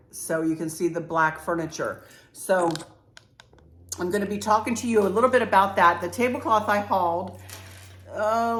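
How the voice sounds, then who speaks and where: An older woman, chatting bubbly and congested as a home decor vlogger talking straight to her viewers. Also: on the low side. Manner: bubbly, talks straight to viewers, warm fillers. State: congested, clearing throat. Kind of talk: casual chatty vlog. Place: home interior room. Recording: echoey room.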